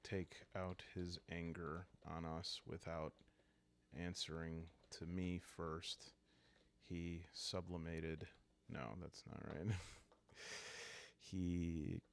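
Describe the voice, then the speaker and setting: A man softly narrates a solo roleplaying game for sleepy listeners. His voice is low and heavy with fry, and he takes his time, calmly feeling out each phrase as he improvises. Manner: calm, soft-spoken, halting.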